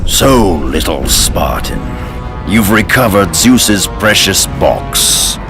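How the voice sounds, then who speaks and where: An actor voicing a menacing, mocking god of war, slow and taunting, in a game cutscene with music behind. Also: male voice, very animated, exaggerated voice. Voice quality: deep, taunting. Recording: game cutscene with loud score.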